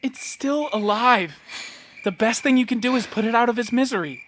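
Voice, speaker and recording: A man's voice: very high voice, normal speed, a bit bright, a little hiss or hum. A young man playing a troubled, lonely, sardonic teenage boy in an audio play, with a dry, morbid way of talking. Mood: steady, reassuring.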